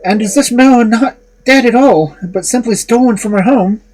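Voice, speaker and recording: A man's voice: very high voice, moderate pace, darkish tone, voice pushed up. A man voicing a devoted, grieving young male hero from a fairy tale, earnest and determined. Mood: urgent, determined.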